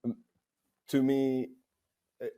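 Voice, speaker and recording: A man's voice: medium pitch, monotone, slow pace, warm tone. A man from Spain with a Spanish accent, answering interview questions conversationally as a game developer, thinking aloud with frequent repeats. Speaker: game developer, guest speaker.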